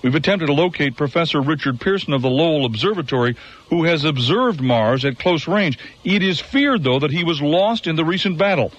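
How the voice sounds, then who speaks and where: An actor playing a radio news announcer, reading war bulletins in a measured way. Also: male voice, fairly high pitch, animated. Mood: grave. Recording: dull radio broadcast sound.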